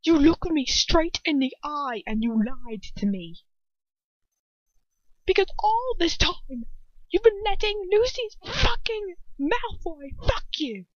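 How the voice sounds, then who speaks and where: A woman voicing a furious, betrayed young man in a story, shouting and stammering in disbelief. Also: very high-pitched, big pitch swings, slow, no echo, lo-fi sound, pitched above the performer's own voice, full character voice. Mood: enraged shouting. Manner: shouting, incredulous, breaking into stammers.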